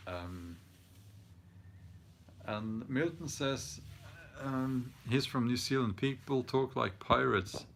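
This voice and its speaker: A man in his forties with a Norwegian accent, hosting his channel and reading out viewer comments in casual chat, thoughtful and halting with many ums and restarts. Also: on the low side, creaky, fairly bright tone, a little hiss or hum. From Norway. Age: 40s. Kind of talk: casual live-stream chat.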